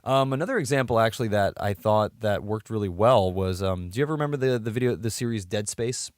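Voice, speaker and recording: A man's voice: lowish voice, fast talker, no echo, studio quality. A man co-hosting a podcast, chatting casually and conversationally about films. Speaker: podcast co-host. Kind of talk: casual chat about video game films.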